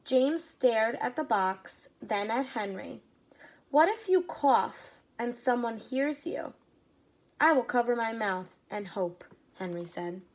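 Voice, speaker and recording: A woman teacher reads a children's picture book aloud, taking her time over each phrase. Her voice sits a little high. She pauses now and then for brief asides that explain the story to young listeners. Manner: slow, expressive reading with short asides explaining to children.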